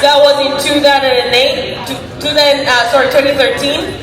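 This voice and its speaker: A woman from Tanzania, giving an energetic stage speech and calling out to the audience at a business convention. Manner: high-energy, shouting out to the audience, calls for crowd response. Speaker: motivational speaker at a business convention. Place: large convention hall. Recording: echoey hall with a loud crowd.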